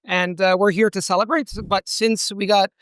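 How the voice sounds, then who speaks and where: A man's voice: sits high, fairly even intonation, moderate pace, fairly bright tone, clean tone, no room sound, studio quality. A man hosting an interview at an event, earnest and searching for words, with frequent restarts. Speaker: interviewer, language channel host. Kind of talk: conversational interview. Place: hall at a language event with background noise.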